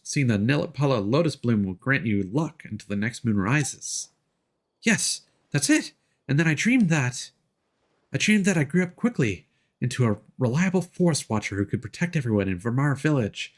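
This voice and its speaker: A man voicing a gentle, dreamy young forest girl, reading game dialogue earnestly in character. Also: mid-range voice, sing-song, normal speed. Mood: wistful, earnest.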